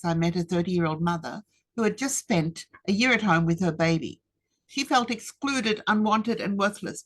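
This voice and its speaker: A woman, giving a rehearsed webinar presentation in a measured, deliberate way with emphatic pauses. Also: fairly low pitch. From Australia. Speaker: speaker. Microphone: webinar computer mic.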